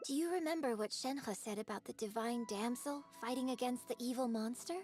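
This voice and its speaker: A woman's voice: on the high side, fairly quick, a little mellow, natural voice. A woman playing a courteous young opera performer in a fantasy video game, speaking in a formal, reflective manner. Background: game music.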